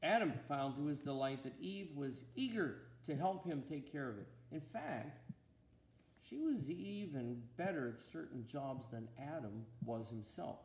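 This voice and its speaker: A man reading a children's storybook aloud to a listener in an expressive way, with deliberate pauses and gentle asides. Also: fairly high pitch. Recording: roomy echo, faint background noise.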